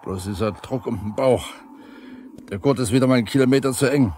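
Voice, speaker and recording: A man's voice: mid-range voice, brisk, darkish tone, natural voice. An actor playing a proud, easily offended veteran foreman, grumbling and blustering in colloquial speech in a 1970s radio drama. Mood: smug. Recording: faintly noisy 1970s vinyl radio play.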